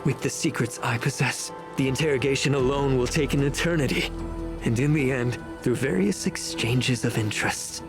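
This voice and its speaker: An actor playing a scheming, arrogant elder official who speaks in a pompous, formal, measured way, like a villain in a story game. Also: male voice, medium pitch, over music, natural voice. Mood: smug.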